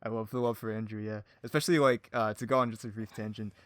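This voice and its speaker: A man's voice: on the low side, fairly even intonation, fast talker, fairly bright tone, no room sound, studio quality. A man chatting casually as a podcast guest, rambling through self-deprecating anecdotes peppered with "like". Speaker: podcast guest, hobby audio drama creator. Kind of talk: casual chat, telling anecdotes.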